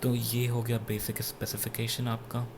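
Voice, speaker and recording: A man with an Indian accent giving an unscripted gadget review in a halting, self-correcting way, switching between two languages. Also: medium pitch, completely flat delivery, noisy background. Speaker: product reviewer. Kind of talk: unscripted gadget review, mixing Hindi with English.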